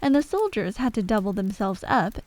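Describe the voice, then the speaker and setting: A woman's voice: mid-range voice, big pitch swings, normal speed, a little mellow. A woman storyteller reading a scripted bedtime story in an ASMR narration style.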